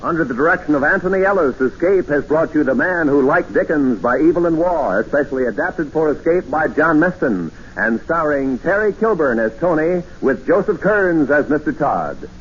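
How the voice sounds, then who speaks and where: A man's voice: on the high side, lively intonation, normal speed. A man announcing credits as a formal, polished 1950s radio announcer. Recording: muffled old radio broadcast, faint hiss.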